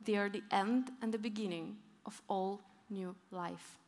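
A woman's voice: mid-range voice, measured pace. A young woman giving a conference keynote on stage, telling stories and leaning hard on her key points. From northern Europe, Arctic region. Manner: storytelling, lively emphasis on key points. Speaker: keynote speaker. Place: large conference hall on stage. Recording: long hall reverb.

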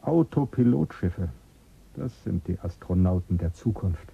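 Radio drama: probing official, deep and raspy. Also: male voice, lively intonation, a little slow, highs cut off, exaggerated voice. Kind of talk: 1970s German radio drama.

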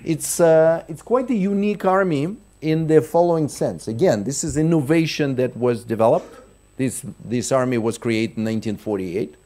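A man's voice: medium pitch, normal speed, dark tone. A middle-aged man from Russia giving a conference keynote at a lectern in a large hall, explaining step by step and often restarting and repeating words. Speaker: keynote speaker. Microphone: lectern microphone.

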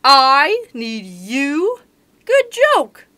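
A woman voicing a grumpy, proud male lion king with a gruff, lordly manner. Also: high-pitched, wildly swooping pitch, drawn-out words, sharp tone, pitched above the performer's own voice, exaggerated voice. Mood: amused.

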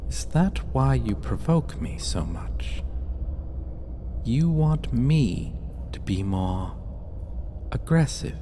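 Man playing male vampire, mocking, seductive, mellow low-lit tone, lively intonation, intimate gothic roleplay, faint background noise. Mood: smug realization. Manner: slow, teasing, condescending, murmuring with breathy whispers.

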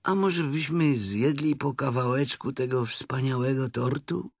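A man voicing a good-natured, simple teddy bear in a children's story, speaking in an unhurried way. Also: medium pitch, husky, dry recording, studio quality, voice pushed up. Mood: gentle, good-natured. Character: good-natured, slow-witted, hungry male teddy bear.

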